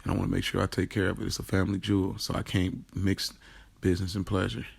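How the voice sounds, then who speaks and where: A man voicing a confident businesswoman, a coolly flirtatious boss and lover, in casual, measured audio drama dialogue over music. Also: deep voice, little pitch movement, gravelly, breathy edge, exaggerated voice. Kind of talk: quoted dialogue within audio drama narration.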